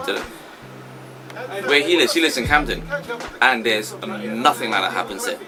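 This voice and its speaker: A man's voice: medium pitch, expressive, moderate pace, music in the background. A man in his forties from Trinidad, a shop owner giving earnest, rueful interview answers full of fillers. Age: 40s.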